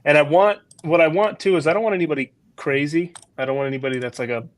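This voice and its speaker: A man guesting on a casual podcast, speaking hesitantly with many pauses and restarts. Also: fairly high pitch, dry recording. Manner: hesitant, full of ums and restarts. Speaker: guest comic creator. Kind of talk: casual interview chat.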